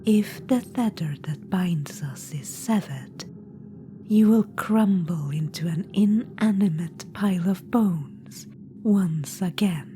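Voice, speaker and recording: A woman's voice: lowish voice, takes it slowly, warm tone. A woman voicing an imperious undead witch-queen spirit in an ASMR audio roleplay. She speaks in a whisper, teasing, condescending and commanding. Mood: coaxing whisper. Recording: clear background noise.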